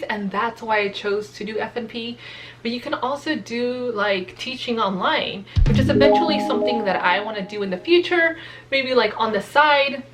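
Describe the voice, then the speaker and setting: A woman's voice: on the high side, fairly bright tone. A young adult woman, a nurse practitioner student whose first language is Spanish, talking earnestly and casually to camera with lots of fillers. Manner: chatty, earnest, many fillers.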